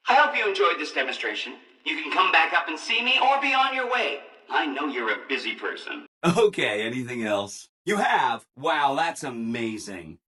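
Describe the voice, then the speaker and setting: An actor playing a relentlessly cheerful, eager-to-please helper robot in a video game, with perky, over-agreeable enthusiasm. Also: male voice, a little high, sharp tone, slightly rough, full character voice, low-quality recording. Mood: upbeat, proud, then gushing, eager. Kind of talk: video game character acting.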